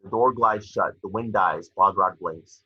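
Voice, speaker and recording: A man's voice: sits low, unhurried, slightly rough, no room sound, muffled recording. A man reading stage directions as narrator for a screenplay table read.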